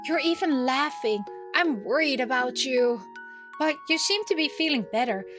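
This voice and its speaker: A woman's voice: high voice, animated, moderate pace, music in the background. A woman voicing a caring, nagging young maid fussing over her roommate in a visual novel game. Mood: fond scolding.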